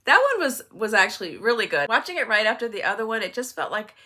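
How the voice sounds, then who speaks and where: A woman's voice: fairly high pitch, sing-song, quick pace, pushed and bright. An older woman chatting casually in a book vlog, conversational and wry, with some self-deprecating humour. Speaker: book vlogger and co-host.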